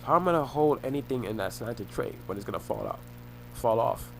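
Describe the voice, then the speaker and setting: A young man talking to the camera in a rambling monologue, often halting, repeating himself and restarting phrases. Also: mid-range voice, lively intonation, creaky, slight background noise, rough recording. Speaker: vlogger.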